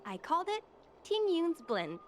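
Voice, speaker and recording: High, lively young tea house owner. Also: female voice, moderate pace, slight background noise, heavily acted. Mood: wistful, hopeful. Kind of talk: video game voice acting. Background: game music.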